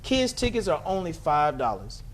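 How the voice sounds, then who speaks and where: A man speaking as a guest promoter, giving an emphatic, salesman-like pitch. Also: very animated, normal speed. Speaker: guest, manager and play promoter.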